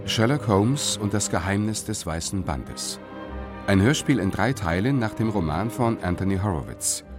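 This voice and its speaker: Casting: male radio-play announcer, very low voice, lively intonation, formal scripted delivery over prominent music. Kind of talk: scripted announcement of title and credits.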